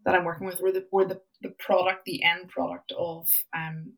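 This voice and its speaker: A Northern Irish woman talks casually as a podcast guest, often pausing on "um" and restarting her sentences. Her voice sits fairly low with a gravelly edge. She sounds somewhat far from the microphone. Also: flat delivery, fairly bright tone. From Northern Ireland. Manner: chatty, frequent ums and restarts. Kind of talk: casual interview chat.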